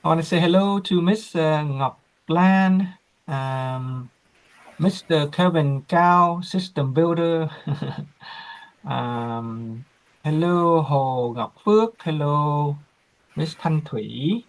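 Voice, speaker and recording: A man hosting an online class on a video call, slow and reflective, with frequent fillers. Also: fairly high pitch, rough recording.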